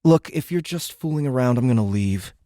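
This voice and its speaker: An indignant American teenager, played by a man for an audiobook drama. His delivery swings widely in pitch, full of sarcasm and slangy exasperation. The sound is dry and close. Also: lively intonation, fairly quick, mellow, dry recording, natural voice.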